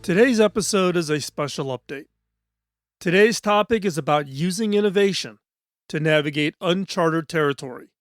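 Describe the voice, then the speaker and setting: A middle-aged man hosting a podcast in a studio. He talks in an earnest, measured, scripted way, and his voice is hoarse. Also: on the high side, no room sound, soft background music. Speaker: podcast host.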